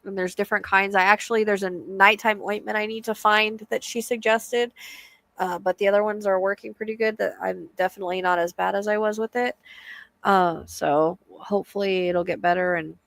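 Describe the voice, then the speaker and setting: A woman's voice: mid-range voice, fairly even intonation, brisk, creaky, fairly bright tone, no echo. A woman hosting a casual live chat as a psychic medium, with a soothing voice and a chatty, hesitant way of talking full of fillers.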